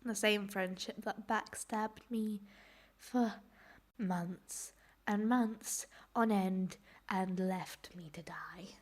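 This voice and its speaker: A woman reacting casually to clips in a rambling, self-deprecating way, her voice hoarse and giving out from a cold. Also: mid-range voice, steady tone, slow pace. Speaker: host reacting to cartoon clips.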